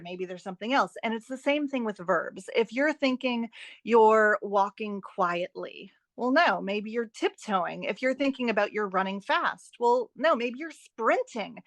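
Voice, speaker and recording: A woman's voice: mid-range voice, a bit bright, no echo. Woman explaining emphatically, close dry sound. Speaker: author and editing expert, podcast guest. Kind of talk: conversational interview, explaining writing tips.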